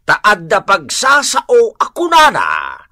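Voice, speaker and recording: A man's voice: high-pitched, huge pitch swings, takes it slowly, pushed and bright, no room sound, studio quality, exaggerated voice. A man playing an exasperated, nagging husband in an Ilocano-accented radio comedy drama, with a whining, scolding delivery.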